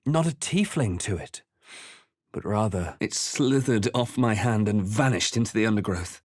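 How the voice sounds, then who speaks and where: A man playing a grave, watchful adventurer hero in a fantasy video game, speaking in a measured, dramatic, introspective way. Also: lowish voice, animated, a little mellow, dry recording, studio quality. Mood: grave, brooding. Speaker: video game player character. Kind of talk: scripted narration and character lines for a fantasy game.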